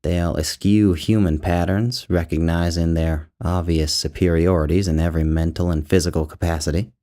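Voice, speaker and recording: A man narrating his own literary short story in a measured, deliberate way, drawing out phrases. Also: deep voice, fairly even intonation, warm tone.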